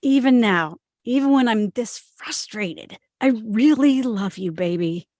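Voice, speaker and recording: A woman's voice: fairly high pitch, lively intonation, measured pace, darkish tone. A woman playing an earnest, lovestruck young blue-collar boyfriend in a table read of a TV script. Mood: tender, frustrated.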